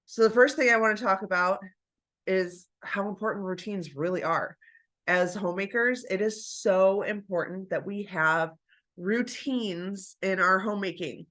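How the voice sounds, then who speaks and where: A woman vlogger with a bright, forward tone sitting a little low in pitch. She talks casually and warmly to her viewers, encouraging them along. A stuffy nose from a recent flu colors her voice. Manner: chatty, encouraging, addresses viewers as you guys. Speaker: homemaking vlogger. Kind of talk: casual chat to camera.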